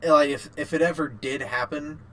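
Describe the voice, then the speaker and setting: A man's voice: fairly high pitch, fairly even intonation, normal speed, fairly bright tone, low-quality recording. A man co-hosting a casual podcast chat about games and films, informal and a little sweary. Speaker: podcast co-host.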